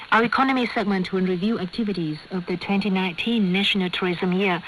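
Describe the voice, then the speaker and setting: A woman with a Vietnamese accent reads scripted news in a formal, measured way over a shortwave radio broadcast. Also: mid-range voice, fairly bright tone, rough recording. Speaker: radio news presenter and host. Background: clear static noise.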